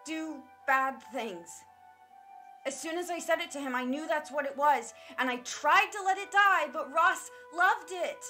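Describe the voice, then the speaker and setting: A high, sharp-edged female voice , acted by a woman, plays a defensive massage therapist in a stage drama. She pleads and confesses as someone weighed down by guilt, with music faintly behind her. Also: a little slow. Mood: guilty, confessing. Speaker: actor in a stage play reading.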